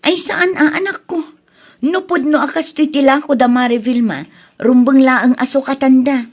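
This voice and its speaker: Woman acting indignant, high-voiced Ilocano daughter. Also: normal speed, lightly acted. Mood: shocked. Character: indignant adult daughter complaining about bad food. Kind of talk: radio drama acting.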